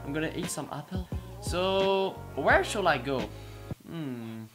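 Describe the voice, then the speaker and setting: A man's voice: on the high side, slow pace. Young male gaming host, non-native English, jumpy and hesitant, headset mic, music under the voice. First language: not English. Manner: excitable, hesitant, frequent exclamations. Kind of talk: casual gameplay commentary.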